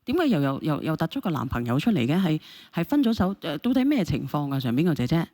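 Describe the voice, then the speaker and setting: A woman radio host with a Hong Kong Cantonese accent mediates a phone-in at a quick pace. Her pitch sits slightly low and moves around a lot. She interrupts and repeats questions, sounding flustered. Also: sing-song, dark tone.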